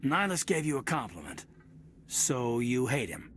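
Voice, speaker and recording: An actor playing a level-headed young officer in a video game, teasing the pilot dryly. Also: male voice, medium pitch, very animated, moderate pace, fairly bright tone, soft background music. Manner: dry, teasing.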